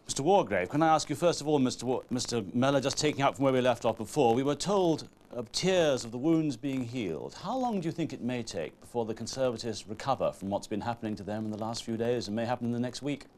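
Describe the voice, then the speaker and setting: A middle-aged man presenting live political news from a studio in a brisk, formal manner. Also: mid-range voice, expressive, darkish tone. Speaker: political correspondent. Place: television studio.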